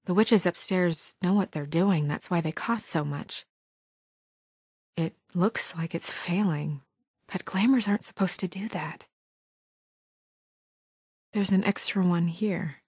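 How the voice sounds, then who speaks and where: A woman acting in a video game as a composed, weary and stern administrator who speaks in a crisp, businesslike, quietly troubled way. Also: on the low side, no room sound, studio quality. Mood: hushed, puzzled.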